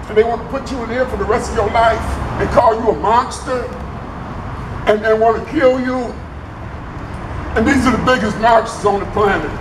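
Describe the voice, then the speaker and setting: A man giving an earnest, emphatic personal tribute to an audience, often repeating his words. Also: high-pitched. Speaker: guest speaker giving a tribute. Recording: echoey distant recording with clear background noise.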